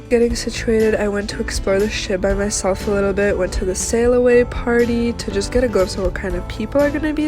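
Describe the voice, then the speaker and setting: A young woman narrating a casual travel vlog in a chatty way, talking straight to the people watching. Her voice sits at an ordinary middle pitch, and clear background music plays under her. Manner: chatty, talks straight to viewers. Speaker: travel vlogger.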